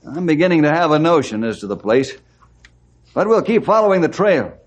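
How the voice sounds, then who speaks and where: An actor playing a steady, commanding ranger in an old radio western, firm and authoritative. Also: male voice, fairly high pitch, a little fast. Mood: resolute. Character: steady, commanding male ranger leading desert party. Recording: noisy old radio recording.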